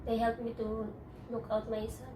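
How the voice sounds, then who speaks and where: Filipino woman interviewee, hesitant English, slightly high pitch; roomy echo, faint background noise. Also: completely flat delivery. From Philippines. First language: Tagalog. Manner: halting, hesitant, many restarts. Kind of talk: personal interview. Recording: echoey room, distant mic.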